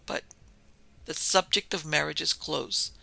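A man's voice: a little high, normal speed, creaky, sharp tone, exaggerated voice. A man voicing a domineering older baronet father in a storybook, blustering, scornful and condescending. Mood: stern.

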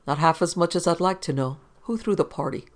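A man's voice: a little high, a little fast, close to an everyday voice. A man voicing a hard-boiled, impatient private investigator with curt, snapping demands in a pulp detective audiobook. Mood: confrontational.

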